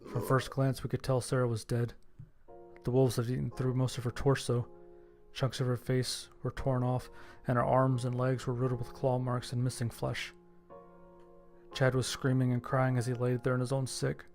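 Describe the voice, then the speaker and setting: A man reading scripted first-person horror fiction as its narrator, with a gravelly voice. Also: medium pitch, completely flat delivery, normal speed, a little mellow, soft background music.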